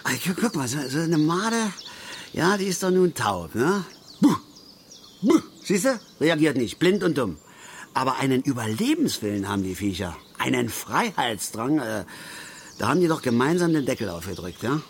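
Grumbling middle-aged angler, mid-pitched voice, folksy lecturing manner, radio drama, faint outdoor background noise. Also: male voice, sing-song, moderate pace. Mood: amused, chatty. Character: grumbling, opinionated middle-aged East German male angler. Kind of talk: naturalistic German radio drama acting.

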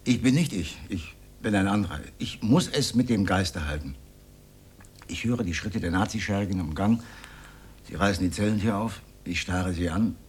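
An actor playing an older communist and failed stage actor in a radio drama, sardonic, defiant and declamatory. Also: male voice, deep voice, moderate pace, a little hiss or hum, lightly acted. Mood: theatrical, defiant. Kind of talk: radio drama monologue, theatrical.

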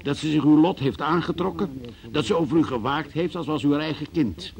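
An actor playing a relentless, accusing interrogator in a Dutch radio play, deliberate and insistent. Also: male voice, on the high side, gravelly, crowd noise. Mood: cool, probing. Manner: deliberate, insistent, coolly confrontational. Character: relentless, accusing male interrogator cornering a suspect.

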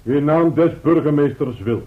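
An actor playing a gruff, officious medieval night watchman in a radio drama, barking curt, archaic commands. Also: a little high, normal speed, slight background noise, lightly acted. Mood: commanding. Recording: phone-like, muffled old radio recording.

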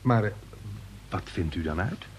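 An actor playing a timid, gullible young tax collector who is polite and stammers hesitantly in a radio play. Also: male voice, low-pitched, animated, moderate pace, slightly rough, exaggerated voice. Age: young adult.

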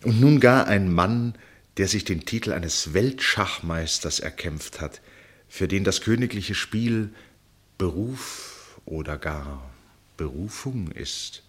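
An actor playing a curious, reflective gentleman traveller in a radio play, speaking in a musing, articulate manner. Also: sits low, expressive, slow pace, breathy edge, heavily acted. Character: curious, reflective gentleman narrator, a ship passenger. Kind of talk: German literary radio play, narration and dialogue.

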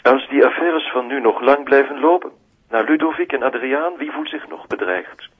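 An actor playing an anxious, secretive gentleman with a Flemish accent in a radio drama, speaking in a hushed, uneasy way. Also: mid-range voice, normal speed, slightly rough, lightly acted. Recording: dull, band-limited voice.

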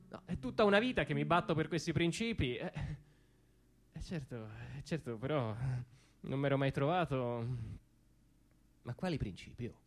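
A man performing a dramatic comic stage monologue as an anxious, conflicted dreamer, halting and repeating himself in agitation. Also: a little high, voice pushed down, close to an everyday voice. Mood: muttering dismay. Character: anxious, conflicted dreamer, a man torn between conscience and panic.

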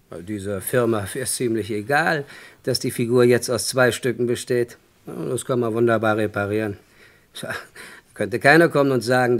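An actor playing a sly, insistent blackmailer in a German radio crime drama, speaking in a smug, deliberate, threatening way. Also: male voice, medium pitch, close to an everyday voice. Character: sly, insistent male blackmailer selling stolen goods.

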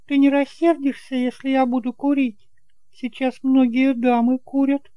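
A man's voice: very high voice, level tone, normal speed, mellow, clean tone, no room sound, pitched above the performer's own voice. A man voicing a playful, coquettish young wife in an audio drama, with a teasing, coaxing manner. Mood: coy.

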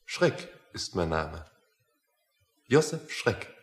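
A man's voice: deep voice, sing-song, heavily acted. An actor playing a blunt, self-important and faintly menacing male visitor in a satirical radio play. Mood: brusque. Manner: blunt, deliberate, haughty.

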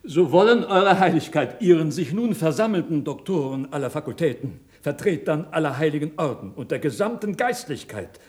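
An actor playing a zealous, sly cardinal in a radio drama, speaking with insistent, scornful rhetoric. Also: male voice, high-pitched, darkish tone. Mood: solemn, incredulous.

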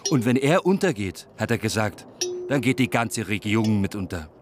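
A man's voice: on the low side, lively intonation, moderate pace, natural voice. An actor playing a naive, easily indignant male visitor on a tour in a German radio play. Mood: gossipy, foreboding. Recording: noisy ambient background. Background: ambient noise.

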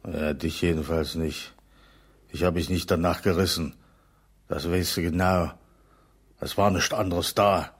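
A middle-aged man playing a stubborn, self-pitying former officer in a radio drama, gravelly and subdued. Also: deep voice, measured pace, pauses often. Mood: resigned. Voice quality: gravelly, husky. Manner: subdued, monotone.

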